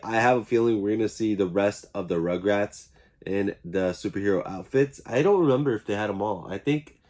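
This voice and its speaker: A young man with a slightly stuffy, congested voice talks through an unboxing in a chatty, upbeat way. His pitch sits right in the middle for a man. He sounds excited and easygoing, like a channel host talking to his regular viewers. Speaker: YouTube collector channel host. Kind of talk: casual unboxing vlog.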